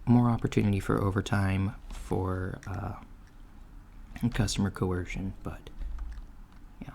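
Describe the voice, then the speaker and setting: A soft-spoken man playing a polite job interviewer in an improvised ASMR roleplay, talking in a halting, hesitant way. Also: deep voice, creaky. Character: polite, businesslike alien-store manager interviewing a job applicant. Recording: lo-fi with steady background noise. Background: computer keyboard typing.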